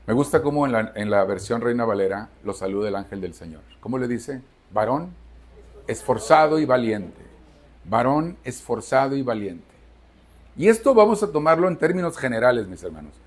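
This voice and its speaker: A man's voice: mid-range voice, expressive, moderate pace. A Mexican man in his sixties preaching a Sunday sermon to his church, emphatic, asking the congregation questions and answering them himself. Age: 60s. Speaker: pastor. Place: church service.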